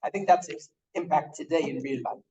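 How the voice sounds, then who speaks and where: A man in the class making a hesitant, halting comment to the lecturer. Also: on the high side, mellow, dull audio. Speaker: class participant. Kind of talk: comment from the audience.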